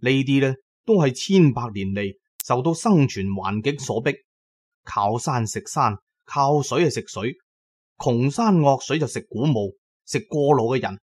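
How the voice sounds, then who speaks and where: Narrate as a Cantonese man telling his own story on a radio drama, in a medium-pitched voice, shaping it as dramatised storytelling. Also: lively intonation, a little slow, a little mellow, husky.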